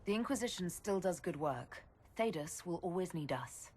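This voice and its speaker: An actress playing a composed, courteous leader in a video game, plainspoken and polite. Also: female voice, lowish voice, expressive, moderate pace, darkish tone, slight background noise, close to an everyday voice. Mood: concerned. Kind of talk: video game cutscene acting.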